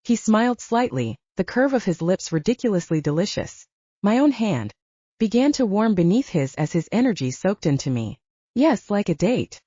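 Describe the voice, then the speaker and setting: Female audiobook narrator for first-person fiction. Mellow, animated intonation, dry and slightly lo-fi capture. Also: mid-range voice, moderate pace, dry recording.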